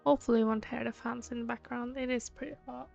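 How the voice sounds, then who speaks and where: A woman doing casual, chatty game commentary in an unhurried, hesitant way, picked up unevenly by a gated microphone. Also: fairly high pitch, fairly even intonation, creaky, a little mellow. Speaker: game streamer. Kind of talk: casual game playthrough commentary, reading dialogue aloud. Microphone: gated microphone, uneven pickup. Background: game music.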